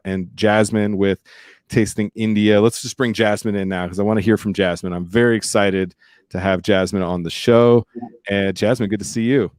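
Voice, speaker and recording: Lower-voiced energetic podcast host. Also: male voice, clean tone, dry recording, studio quality. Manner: energetic, casual, shifting pace. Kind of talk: podcast interview.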